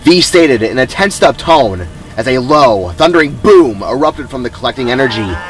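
Animated man narrating a dramatic story. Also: mid-range voice, moderate pace, soft background music. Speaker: author narrating own story.

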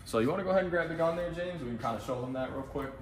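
A man's voice: on the high side, very fast, a little hiss or hum. A man in a welding workshop who asks leading questions and then explains the technique step by step in a casual demonstration.

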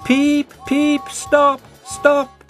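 A man voicing a cheeky, fussy little male tank engine in a high, squeaky voice. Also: slow pace, soft background music. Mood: panicked.

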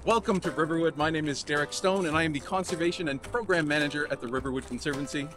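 A man's voice: on the high side, fast talker, over music. A man in his thirties giving an informal educational presentation outdoors as a nature guide. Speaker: conservation program manager, nature guide. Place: outdoors in snowy woods.

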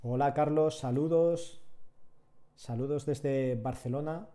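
Relaxed man teaching live. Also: fairly high pitch, slow pace. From Catalonia, Spain. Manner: relaxed, chatty, answers chat while working. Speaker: 3D sculpting instructor. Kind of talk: live-streamed tutorial, chatting with viewers. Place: small room at home.

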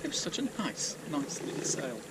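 A man in his sixties filming himself aboard a small open sailing boat on an estuary. He talks in a relaxed, chatty, self-mocking way, with many fillers. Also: mid-range voice, moderate pace. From England. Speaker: sailing vlogger. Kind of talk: casual vlog narration. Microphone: action camera mounted on the boat. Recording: clear wind and water noise.

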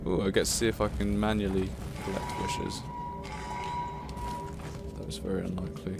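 A man's voice: low-pitched, level tone, normal speed, gravelly. A man casually commentating his gameplay, chatty and self-mocking, swearing at himself when stuck. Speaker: let's play commentator. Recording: game music playing behind.

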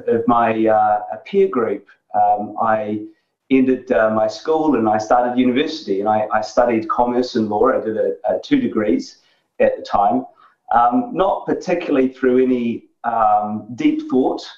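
A man in his forties from New Zealand with a New Zealand accent, a guest on a remote interview, talking in a measured way with many fillers and restarts. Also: medium pitch, a little mellow. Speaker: guest, tech executive. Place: dark home office. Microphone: video call. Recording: roomy, distant, dull lo-fi sound.

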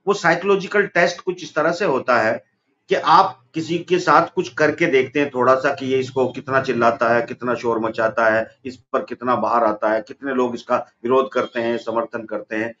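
Middle-aged male pundit on a webcam call, emphatic and insistent, muffled lo-fi audio. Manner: forceful, rising to high emphatic peaks. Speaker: political commentator. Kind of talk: animated political debate on a video call. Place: office room, indoors. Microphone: video call webcam. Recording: dull lo-fi call audio with faint noise.

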